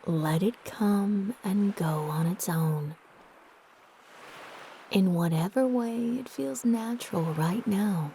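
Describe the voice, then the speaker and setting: A woman narrating a guided meditation in a soft, breathy, gentle and soothing manner with long pauses. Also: mid-range voice, slight background noise.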